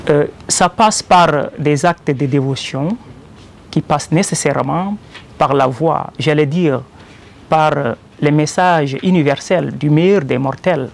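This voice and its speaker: Male poet, Senegalese accent, very unhurried and deliberate delivery, gravelly fry in the voice. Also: on the high side. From Joal, Senegal. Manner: measured, deliberate, with pauses between short phrases. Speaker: poet presenting his own collection. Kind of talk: poetry recitation and explanatory talk about it.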